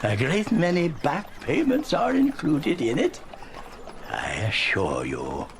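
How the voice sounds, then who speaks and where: An old miser turned kindly, in an audio drama. His delivery is very slow and drawn out, swooping wildly up and down as he gushes and stammers with delight. There is clear background noise around him. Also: a little high, wildly swooping pitch, full character voice. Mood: earnest, grateful. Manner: effusive, stammering, gushing.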